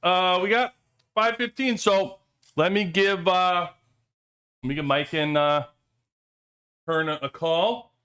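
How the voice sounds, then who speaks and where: A man's voice: sits high, steady tone, takes it slowly, pauses often, sharp tone, clear, resonant tone. A man hosting a livestream in loose, rambling casual chat with joking asides, talking into a cheap headset. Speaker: livestream host. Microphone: cheap headphones headset.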